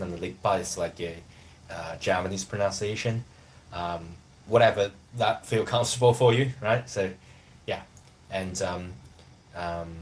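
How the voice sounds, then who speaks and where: Low-voiced man chatting on a stream. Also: a little hiss or hum. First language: Chinese. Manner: rambling, hesitant, loose phrasing. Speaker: language teacher live-streaming. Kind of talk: casual live-stream chat.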